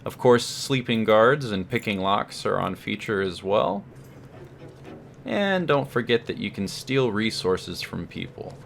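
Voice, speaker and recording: Male game reviewer, low voice, wry commentary, slightly hesitant phrasing, faint background noise. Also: lively intonation, unhurried, creaky. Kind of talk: semi-scripted review commentary over gameplay.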